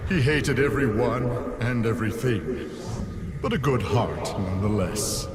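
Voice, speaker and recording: A man's voice: mid-range voice, animated, unhurried, a loud crowd, natural voice. A man playing a petulant, scatterbrained mad scientist villain in a video game, theatrical and whiny. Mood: whiny scheming. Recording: loud music behind.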